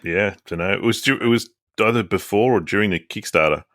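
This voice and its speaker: A man's voice: lowish voice, fairly quick, fairly bright tone, no room sound. A man co-hosting a casual board game podcast, dry and teasing. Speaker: podcast co-host. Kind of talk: casual chat.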